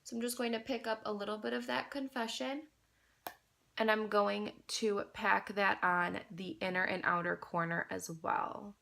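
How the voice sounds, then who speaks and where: A woman's voice: medium pitch, steady tone, moderate pace, gravelly, fairly bright tone. A young woman beauty vlogger with a slightly nasal, congested voice from a cold, chatting to viewers while doing her makeup. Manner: chatty, addresses viewers as you guys. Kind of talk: casual makeup tutorial chat.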